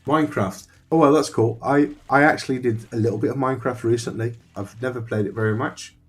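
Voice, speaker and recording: A man's voice: medium pitch, brisk, faint music behind. A man thinking aloud and chatting with viewers while playing a survival game on a live stream. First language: Dutch. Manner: rambling, thinks aloud, talks to chat.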